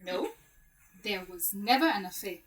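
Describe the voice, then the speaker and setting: A woman playing a shrewd, assured woman who deliberately lays out a case in a story drama. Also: mid-range voice, animated, distant mic, close to an everyday voice, rough recording. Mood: assured, accusing. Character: shrewd woman calmly exposing a plot.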